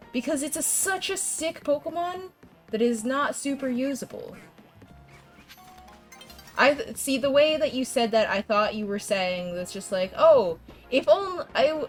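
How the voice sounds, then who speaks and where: Brief: young woman game streamer, stuffy congested voice, rambling casual chat, faint game music behind. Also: on the high side. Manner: chatty, rambling, frequent laughs and restarts. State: congested, fighting a cold. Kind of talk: casual gameplay chat with viewers.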